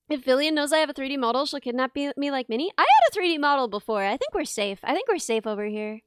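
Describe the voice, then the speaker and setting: A young woman streamer chatting casually, hoarse and losing her voice, rambling and giggling at herself. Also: sits high, animated, fast talker, no echo, studio quality. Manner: rambling, giggly, self-mocking. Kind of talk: casual chat with live chat. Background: music playing.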